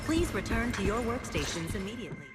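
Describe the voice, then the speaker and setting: A woman's voice: mid-range voice, very animated, quick pace, natural voice. A woman voicing a formal, polite female public-address announcer in a video game. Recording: loud music over announcement.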